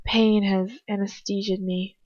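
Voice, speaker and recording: A woman narrates a story in the first person with plenty of feeling. Her delivery is a little halting, and the dry home recording sounds dull and lo-fi. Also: medium pitch, little pitch movement, a little mellow, dry recording. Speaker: amateur fan fiction reader.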